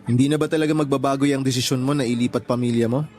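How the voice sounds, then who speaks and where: A man playing a calm, supportive young boyfriend in a Tagalog radio drama, speaking in a reassuring, conversational way. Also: mid-range voice, racing, faint music behind, close to an everyday voice. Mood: sympathetic, reassuring.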